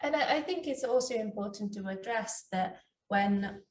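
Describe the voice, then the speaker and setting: Roomy far-off mic, woman chatting casually about health, kinda hesitant and reflective, medium pitch. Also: moderate pace, a little mellow. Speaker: doctor co-hosting a health talk. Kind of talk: casual conversational discussion. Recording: echoey room, distant mic.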